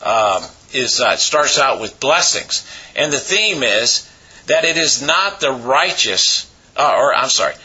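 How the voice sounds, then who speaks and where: A man with a raspy voice, hoarse from a cold, preaching a sermon as pastor with many pauses and fillers. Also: mid-range voice, lively intonation, bright, forceful tone, muffled recording.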